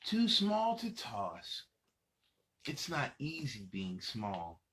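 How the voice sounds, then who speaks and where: A man's voice: medium pitch, wildly swooping pitch, extremely slow, a bit bright, slight background noise. A man reading picture books aloud to children as a host, in a casual, conversational way with asides to the young listeners. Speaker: children's story reader and host.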